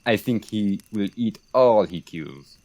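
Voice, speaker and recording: A man's voice: on the low side, slow pace, a little mellow, dry recording. A young man acting a sardonic French military commander in a Shakespeare radio play, with a dry, mocking delivery. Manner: dry, wry.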